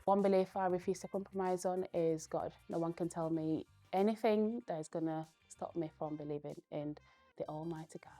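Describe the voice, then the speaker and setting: A young woman, a Spanish speaker from Spain, giving earnest, thoughtful interview answers and pausing often to gather her words. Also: fairly low pitch, fairly even intonation, mellow, faint music behind. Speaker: guest, powerlifter.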